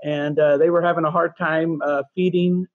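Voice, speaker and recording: A man's voice: on the high side, little pitch movement, moderate pace, no echo, muffled recording. A talkative man in his fifties, originally from the Azores and raised in California, chatting as a podcast guest with lots of restarts. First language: Portuguese. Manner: talkative, rambling with many restarts. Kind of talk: casual interview chat.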